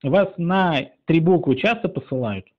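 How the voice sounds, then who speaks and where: Man, slightly high voice, sarcastic and teasing, slow drawl, muffled lo-fi recording. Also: animated. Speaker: channel author pranking a phone scammer. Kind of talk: casual mocking phone chat.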